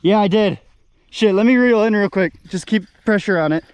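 A man with a slightly high voice chats casually while fishing on a river. His talk is loose and mildly sweary. The outdoor camera audio carries a light layer of background noise. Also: normal speed, a little mellow. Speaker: angler filming a fishing outing.

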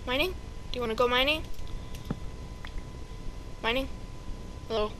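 A woman's voice: on the high side, a little slow, fairly bright tone, slight background noise, low-quality recording. A woman giving casual let's-play commentary, chatty and hesitant with many ums and playful sound words. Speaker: video game player. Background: background music.

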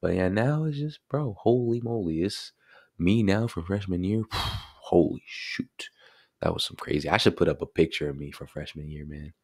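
An eighteen-year-old man chatting casually in a bedroom vlog, laid-back and slangy with lots of fillers, into a desk mic on a boom arm. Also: moderate pace, no room sound, clean studio sound. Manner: laid-back slangy talk, many fillers like bro and you know. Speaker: vlogger. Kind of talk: casual birthday vlog chat. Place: small bedroom indoors.

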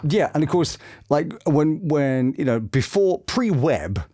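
A middle-aged man with a British accent telling anecdotes in an expressive, enthusiastic way as an interviewed voice actor. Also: on the high side, unhurried. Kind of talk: animated anecdotal interview chat. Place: small home studio room. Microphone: boom-mounted studio microphone.

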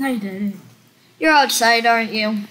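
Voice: mid-range voice, measured pace. A child hosting a casual Roblox roleplay stream, playfully directing the make-believe. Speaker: host of a Roblox roleplay livestream. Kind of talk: casual game roleplay chat. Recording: noisy home recording, clicks.

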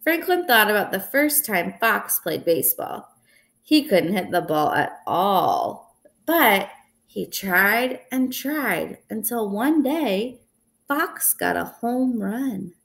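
A young woman reads a picture book aloud at an unhurried pace. Her pitch rises and falls in a lively way, with a deliberate, expressive delivery suited to children. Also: vocal fry. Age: young adult. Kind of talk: storybook read-aloud. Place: indoors in a small room at home.

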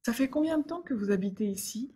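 Brief: woman, higher voice, breathy, clear scripted lesson reads. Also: lively intonation, fast, mellow. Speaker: dialogue reader for French learners. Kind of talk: scripted learner dialogues.

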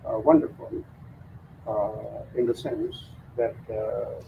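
An older man hosting, introducing a guest speaker in a halting way with many fillers. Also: fairly low pitch, completely flat delivery, vocal fry, mellow, slight background noise. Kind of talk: spoken introduction. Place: mosque lecture room. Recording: tinny lo-fi sound.

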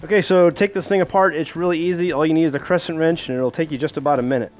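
A man's voice: a little high, steady tone, fast, dull audio, music in the background. A man giving a casual how-to demonstration in a plain-spoken, reassuring, repetitive way. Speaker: homesteading host demonstrating a pump. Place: home kitchen.

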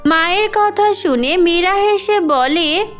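Very high-pitched woman telling fairy tales. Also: slow pace, fairly bright tone, faint music behind. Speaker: storyteller, narrator. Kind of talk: scripted children's fairy-tale narration.

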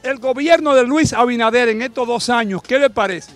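High-voiced Dominican street reporter. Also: male voice, soft background music. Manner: brisk, friendly, calls out to passersby. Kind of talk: street vox pop interviews. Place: busy city sidewalk outdoors. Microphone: handheld mic.

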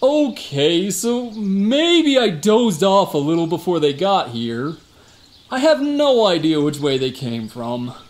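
A man's voice: sits high, very animated, slow pace, heavily acted. A man playing a boastful, evasive security guard in a comic radio drama, folksy, slangy and given to exaggeration. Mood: defensive, boastful. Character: boastful, evasive tough-guy security guard, a fibbing male cousin.

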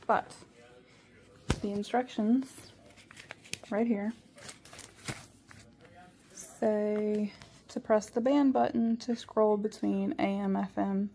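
Slow, gravelly woman testing a radio. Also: mid-range voice, background noise. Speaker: product reviewer. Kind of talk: casual product demo.

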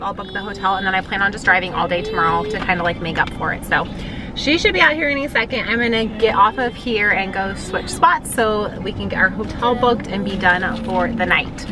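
A woman in her thirties chats into a handheld camera in a parked car, her mid-pitched voice loose and rambling. She fills her talk with "like" and "um". A steady wash of background noise sits under her voice. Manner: chatty, rambling with lots of "like" and "um". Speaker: vlogger. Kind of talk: casual vlog chat. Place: parked car at a gas station, later a hotel room. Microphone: camera held at arm's length.

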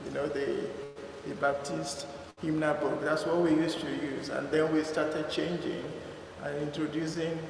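Nigerian man sharing church memories, slow and gravelly, echoey church hall. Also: a little high, level tone, background noise. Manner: unhurried, conversational, with fillers. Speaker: church member giving a reflection. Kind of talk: informal spoken reflection at a church service.

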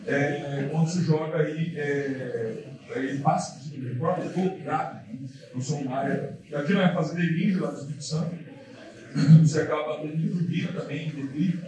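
A man's voice: a little high, normal speed, crowd noise. Man lecturing in an echoey hall. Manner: casual, repetitive, many fillers. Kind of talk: seminar talk with slides. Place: large dark lecture hall. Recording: echoey hall from far off, audience.

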